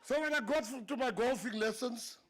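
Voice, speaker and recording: A man's voice: very high voice, normal speed, a bit bright. A South African man whose first language is Afrikaans, a government minister giving a humorous after-dinner speech, telling stories and laughing at his own jokes. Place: banquet hall.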